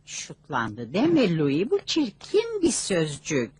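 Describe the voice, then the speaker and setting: A woman playing a chirpy, evasive middle-aged mother in a dubbed cartoon, affectionate and sing-song, with a husky voice. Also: on the low side, huge pitch swings, slow, a little mellow, exaggerated voice. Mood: evasive, gentle.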